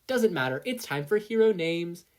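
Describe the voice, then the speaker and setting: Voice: mid-range voice, animated, moderate pace, pitched above the performer's own voice, lightly acted. A narrator with an American accent voicing a brash, theatrical, teasing woman teacher in a story reading. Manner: loud, flamboyant, commanding.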